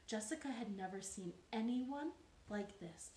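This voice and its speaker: Animated, unhurried woman telling children's stories. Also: medium pitch, slight background noise. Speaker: children's librarian storyteller. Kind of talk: animated storytime for young children. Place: living room, indoors. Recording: roomy living-room sound.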